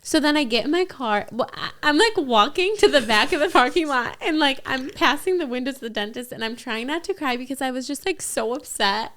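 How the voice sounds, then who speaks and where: Young woman podcast co-host, smooth and fairly high, chatty storyteller. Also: animated, fairly quick, hardly any pauses, a bit bright. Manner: chatty, heavy use of "like". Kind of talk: casual storytelling chat.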